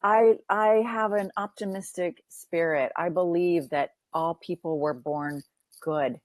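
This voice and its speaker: A deep-toned woman counselor talks in an earnest, affirming way. She leans on repetition to build each point. Her voice sits close and dry, with no room sound. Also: a little slow, dry recording. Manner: earnest, repeats phrases while building a point. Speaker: counselor and podcast guest. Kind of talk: podcast interview.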